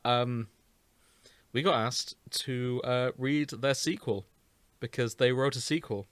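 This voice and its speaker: A man's voice: medium pitch, fairly even intonation, slow, fairly bright tone, no echo. A British man hosting a podcast in casual, jokey chat with his co-hosts, sometimes with theatrical emphasis. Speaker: podcast host.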